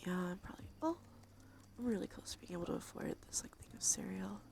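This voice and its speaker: A woman livestreamer talking casually in a soft, breathy whisper with vocal fry, in an informal ASMR game stream style. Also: mid-range voice, lively intonation, quick pace. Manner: sweary, informal. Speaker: livestreamer and host.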